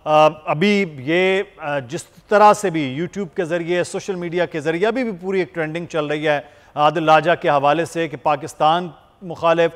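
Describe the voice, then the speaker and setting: A man's voice: fairly high pitch, unhurried. A man with a Pakistani accent hosting a TV news talk show in a formal, wordy way. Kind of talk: news presenting, formal interviewing. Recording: long reverberant hall-like echo.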